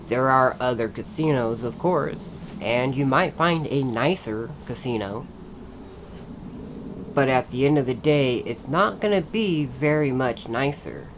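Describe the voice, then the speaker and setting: A man's voice: mid-range voice, noisy background. A man giving a casual, plain-spoken vlog review, recommending things to his viewers. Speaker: vlogger and reviewer.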